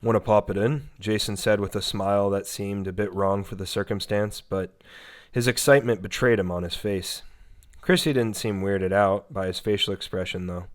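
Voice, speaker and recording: A man with a fairly low voice narrates a scripted horror story. He reads as a storyteller, moving through descriptive prose. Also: steady tone, moderate pace.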